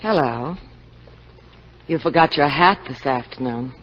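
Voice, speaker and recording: A woman's voice: very deep voice, expressive, a little slow, noisy background. A dull, lo-fi vintage radio recording carries a scheming, discontented wife. She speaks in a coaxing, wounded manner, with the seductive pleading of fifties radio drama. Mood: soft, pleading. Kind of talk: 1950s radio drama acting. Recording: dull, lo-fi 1950 radio broadcast.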